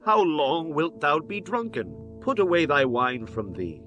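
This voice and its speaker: A man's voice: fairly high pitch, sing-song, measured pace, muffled recording. A man playing a solemn priest of ancient Israel in a dramatized scripture reading, with an emphatic, declamatory manner. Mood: stern rebuke. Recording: music bed behind the voice.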